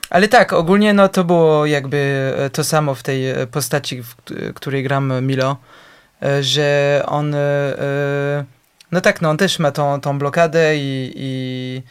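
Guest, male, Polish speaker, hesitant, fills pauses a lot, smooth clear voice, a little high. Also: fairly even intonation. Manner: hesitant, searching for Polish words, many fillers. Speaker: actor, guest. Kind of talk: casual interview chat.

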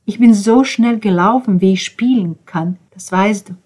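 A woman's voice: medium pitch, moderate pace, husky, natural voice. Woman reading as a grieving, bitter young man. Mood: anguished. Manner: intense, veering between hushed whispers and outbursts. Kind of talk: dramatic audiobook reading.

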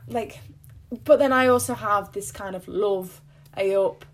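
A woman's voice: mid-range voice, unhurried. A young woman from Derbyshire with a local accent, co-hosting a casual chat podcast and often trailing off mid-sentence. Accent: Derbyshire, near Sheffield. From England, Derbyshire. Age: young adult. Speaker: podcast co-host.

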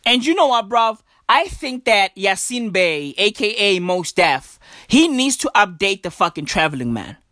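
A woman's voice: mid-range voice, very animated, normal speed, fairly bright tone, no room sound, studio quality. A woman doing a stand-up comedy rant, emphatic and profane, full of slang and repeated punchlines. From South Africa.

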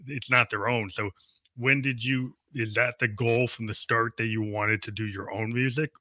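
Male host, fifties, bright tense tone, loose rambling interview style. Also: medium pitch, steady tone, normal speed, echoey room. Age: 50s. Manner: rambling, restarts often, laughs easily. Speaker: radio show host. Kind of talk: casual interview chat.

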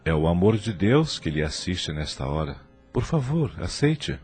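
A kindly spirit guide, low-voiced and brotherly. His radio-drama delivery rises and falls expressively, always gentle and persuasive, with music underneath. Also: sing-song, a little slow, slightly rough, exaggerated voice. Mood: tender.

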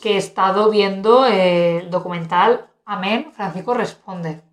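Mujer española animada en sala con eco. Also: female voice, medium pitch, measured pace. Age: 30s. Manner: animated, exclamatory, laughs often. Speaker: podcast co-host. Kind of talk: casual chat. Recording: roomy echo.

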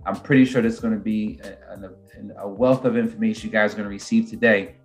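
A man's voice: on the low side, steady tone, a little fast, faint music behind. A man hosting an interview podcast, chatting in an upbeat, conversational way. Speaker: podcast host.

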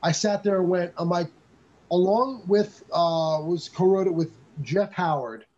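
A man's voice: high-pitched, unhurried, a little mellow, lo-fi sound. A man co-hosting a casual movie review podcast, praising films with enthusiasm. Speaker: podcast co-host.

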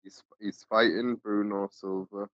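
Low-voiced, hesitant man chatting sports. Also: flat delivery, unhurried, no room sound. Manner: hesitant, many ums and chuckles. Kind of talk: casual sports chat. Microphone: online call. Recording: dull, dry online call audio.

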